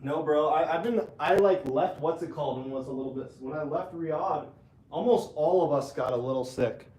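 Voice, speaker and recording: A man with a stuffy, congested nose talks to his stream in a loose, rambling way. He sounds relaxed and chatty, drifting from one thought to the next as he speaks from a hotel room. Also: a little high, normal speed, rough recording. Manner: rambling, relaxed, talking to chat. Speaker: esports caster. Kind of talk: casual livestream chat.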